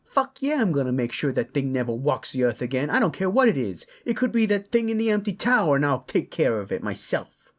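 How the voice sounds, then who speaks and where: A man's voice: mid-range voice, lively intonation, normal speed, close to an everyday voice. A man voicing a hot-tempered, vengeful father and roguish paladin, brash and blunt, in a fantasy audio roleplay. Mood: vengeful, outraged. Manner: brash, blunt, foul-mouthed.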